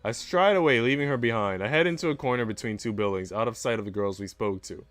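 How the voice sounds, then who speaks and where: A man's voice: mid-range voice, fast, fairly bright tone, faint music behind. A man livestreaming, reading story narration aloud and adding slangy, playful casual commentary.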